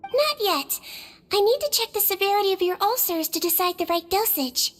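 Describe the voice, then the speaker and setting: A tiny, girlish creature who works as head nurse in a video game. Her voice is extremely high and melodic, with wide swings in pitch. She is calm, gentle and reassuring, in a clinical way. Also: moderate pace.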